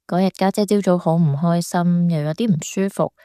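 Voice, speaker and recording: A woman with a Hong Kong Cantonese accent acting a gentle, shy younger sister confiding in a radio drama. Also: fairly low pitch, steady tone, fairly quick, dry recording, clean studio sound, close to an everyday voice. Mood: light, confessing. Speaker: voice actor.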